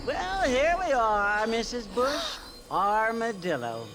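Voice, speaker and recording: A woman's voice: a little high, big pitch swings, music in the background, exaggerated voice. A woman playing a snobbish, judgmental older society lady in a western video game. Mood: prim, haughty. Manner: snobbish, deliberate, disdainful.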